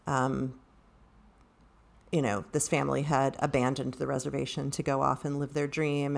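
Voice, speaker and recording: A woman speaking unscripted in a book discussion, in a halting, measured way. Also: very deep voice, vocal fry. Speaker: book discussion podcast participant.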